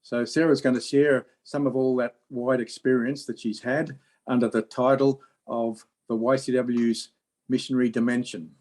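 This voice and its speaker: A man introducing a guest speaker at a meeting, talking fluently and in a measured way. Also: medium pitch, little pitch movement, darkish tone. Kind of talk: formal introduction.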